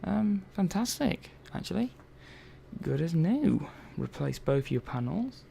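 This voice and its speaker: A man playing a curious, gently chatty robot repair technician in a soft-spoken ASMR roleplay, murmuring and thinking aloud in an unhurried, hesitant way. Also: on the high side, sing-song, vocal fry, exaggerated voice. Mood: gently absorbed. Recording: faint background noise.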